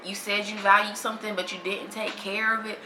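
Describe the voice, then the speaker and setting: Woman, twenties, animated slangy solo podcast talk, bright voice, echoey room. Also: mid-range voice, brisk. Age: 20s. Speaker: podcast host. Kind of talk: casual solo monologue. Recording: echoey room with faint noise.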